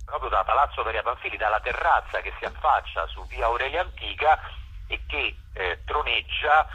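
A man explaining things informally as a radio interview guest, often halting to search for words, with enthusiasm for his subject. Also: mid-range voice, lively intonation, sharp tone, breathy edge, highs cut off. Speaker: interview guest, spokesman for a park conservation association.

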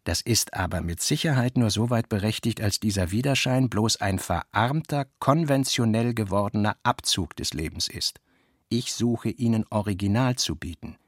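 An actor playing a brooding middle-aged novelist in a literary radio play, deliberate and terse, with a husky voice. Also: male voice, fairly low pitch, no echo, studio quality. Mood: insistent, sardonic. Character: brooding middle-aged male novelist reading out his working notes.

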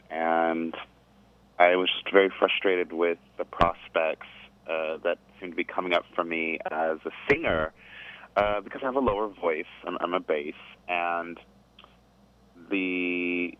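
Low-voiced man on a tinny line. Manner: thoughtful, rambling, laughs easily. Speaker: guest singer. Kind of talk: casual interview chat.

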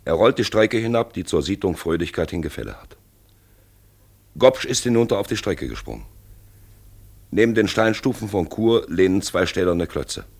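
A deep, gravelly narrator. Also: male voice, darkish tone, full character voice. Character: grave, urgent narrator of a looming railway disaster. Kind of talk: dramatic scripted narration, 1950s radio.

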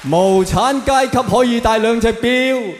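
A self-important old Hong Kong grandfather, acted out by a male comedian, lectures and scolds with patriotic pride. His Cantonese-accented voice is pitched high and rings through an echoey hall. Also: measured pace, soft background music, some chatter behind. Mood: boastful lecturing. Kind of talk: stand-up character impression. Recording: echoey hall with long reverb.

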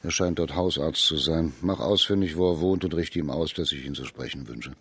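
An actor playing a grave, burdened judge and governor of imperial China in a German radio play, speaking with measured authority. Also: male voice, very deep voice, fairly bright tone, faint music behind. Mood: businesslike, commanding.

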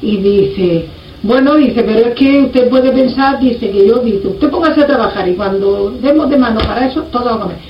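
A woman tells a folk anecdote in an earthy, animated, colloquial way. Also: fairly high pitch, normal speed. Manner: earthy, animated retelling with repeated reported speech. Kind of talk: casual oral anecdote, colloquial. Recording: muffled, roomy, distant mic, faint noise.

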